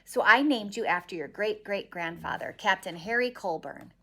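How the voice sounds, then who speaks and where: A woman reading a children's picture book aloud expressively, with dramatic pauses. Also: medium pitch, sharp tone. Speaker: story reader.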